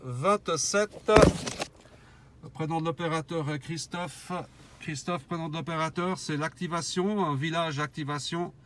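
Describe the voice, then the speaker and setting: A man's voice: fairly high pitch, unhurried, heavy background noise. A man talking as a mobile radio operator, cordially trading call signs and signal reports.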